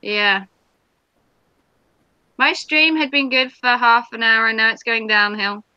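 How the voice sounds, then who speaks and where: A woman's voice: fairly high pitch, steady tone, takes it slowly, smooth, no room sound, highs cut off. A woman from Norfolk with an English accent, chatting and teasing her partner on a live stream. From England, Norfolk. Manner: teasing, jokey banter. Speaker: vlogger hosting a live stream. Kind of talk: casual chat.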